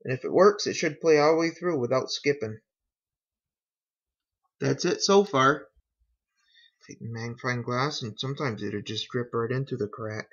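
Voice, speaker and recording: A man giving a casual how-to narration in an unhurried, folksy way, talking through each step as a hobbyist. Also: medium pitch, a bit bright. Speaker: hobbyist demonstrating a repair. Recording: dull, lo-fi home recording.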